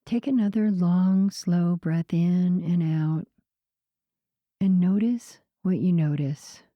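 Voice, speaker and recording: A woman guiding a sleep meditation in a soothing, unhurried way, with a soft voice that turns breathy at the ends of phrases. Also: lowish voice, no echo, muffled recording. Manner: soothing, unhurried, gentle pauses. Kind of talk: slow guided sleep meditation narration.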